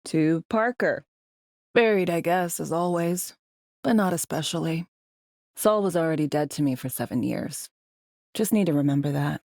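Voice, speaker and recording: A woman's voice: sits low, a little mellow, dry recording, studio quality. A woman voicing a tough, sardonic, world-weary government secret agent in a video game, with a blunt, slangy, wry way of talking. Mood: subdued, reflective. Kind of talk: video game character dialogue.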